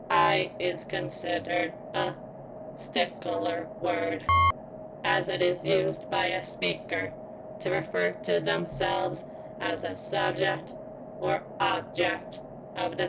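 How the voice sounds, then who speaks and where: A woman voicing a guarded robot girl who yearns for sentience in an ASMR roleplay, speaking in stilted, formal, halting phrases with a strained, pained sound. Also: very deep voice, gravelly, background noise, heavily acted. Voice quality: strained, as if in constant pain.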